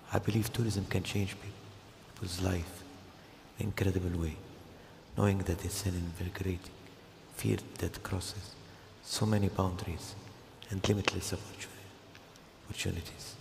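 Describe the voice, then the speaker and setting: An older man from Jordan giving a formal speech at an event, slow and measured, with pauses. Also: low voice, vocal fry, a little mellow, slight background noise. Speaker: guest speaker. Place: large hall. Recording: echoey hall, heard from a distance.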